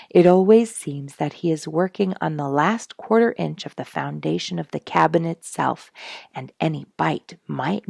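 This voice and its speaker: Woman storyteller, low and very creaky, expressive children's narration with deliberate dramatic emphasis. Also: dry recording, clean studio sound. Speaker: storyteller and audiobook reader, program host.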